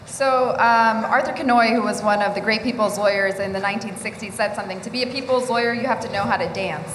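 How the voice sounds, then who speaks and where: A woman speaking in a group discussion, measured and earnest, building a careful argument. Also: mid-range voice, fairly bright tone. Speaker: workshop participant. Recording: echoey hall with faint ambient noise.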